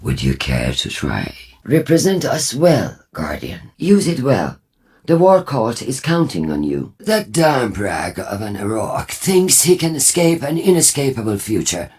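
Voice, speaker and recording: An actor voicing a solemn, prophetic war cult leader in a video game, speaking formally and deliberately with a husky voice. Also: male voice, medium pitch, huge pitch swings, a little mellow, exaggerated voice. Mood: resolute. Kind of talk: scripted video game character dialogue.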